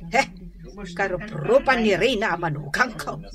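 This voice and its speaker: Casting: gossipy, sharp-tongued mother-in-law played by a woman, animated delivery, teasing, for radio comedy. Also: mid-range voice, normal speed, a crowd in the background, natural voice. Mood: mocking, amused. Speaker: radio drama actor. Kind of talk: comedy radio drama acting.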